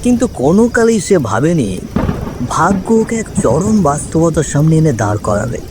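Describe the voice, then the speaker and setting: A man narrating a scripted ghost story in a suspenseful, expressive way. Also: on the high side, moderate pace. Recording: clear rain and river water noise.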